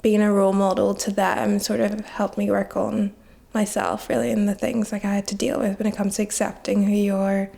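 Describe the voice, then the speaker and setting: A woman's voice: mid-range voice, level tone, a little fast, vocal fry, mellow. A nineteen-year-old woman from Nigeria, reflecting in a casual interview and saying "like" a lot. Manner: heavy use of "like" as filler, reflective. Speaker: guest.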